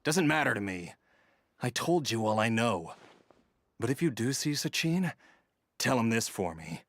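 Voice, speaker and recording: A man's voice: medium pitch, very animated, unhurried. A man playing a bitter, entitled grown son in an anime-style game, speaking in a sneering, resentful, theatrical manner. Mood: contemptuous. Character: bitter, entitled grown son scorning his estranged father.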